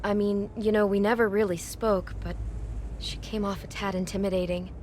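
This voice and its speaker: A woman's voice: mid-range voice, flat delivery, moderate pace, darkish tone, lightly acted. Hesitant, earnest woman played by an actress over noise. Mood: hesitant, confiding. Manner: hesitant, confiding. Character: embarrassed, earnest married woman working a motel front desk. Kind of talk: naturalistic video game acting.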